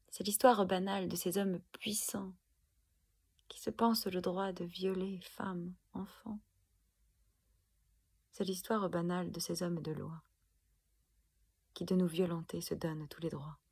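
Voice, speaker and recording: Casting: woman for spoken-word protest poem, slow and emphatic, husky with creaky edges. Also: fairly low pitch. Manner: deliberate, emphatic, dramatic phrasing with pauses. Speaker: poet performing own protest poem. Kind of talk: spoken-word poetry recital.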